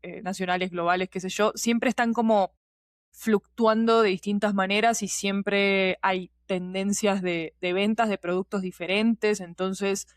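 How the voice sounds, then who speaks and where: A woman's voice: mid-range voice, steady tone, unhurried, no room sound, studio quality. A woman explaining things in casual, digressive conversation and often checking in with her listener. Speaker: podcast guest, producer and teacher.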